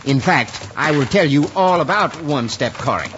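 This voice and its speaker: Man playing a fussy, timid townsman with swooping pitch. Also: expressive, moderate pace, close to an everyday voice. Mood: prim. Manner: prim, flustered, stammering. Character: fussy, timid, polite townsman running a livery stable. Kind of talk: 1950s radio Western acting. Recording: muffled, noisy old radio recording.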